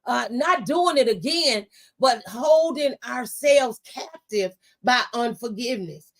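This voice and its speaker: A middle-aged woman with a fairly high voice speaks like a conversational preacher. She is emphatic and exhorting, driving her encouragement home with repeated phrases. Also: lively intonation, slow. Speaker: talk show panelist giving testimony. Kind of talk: motivational faith testimony, preaching conversationally.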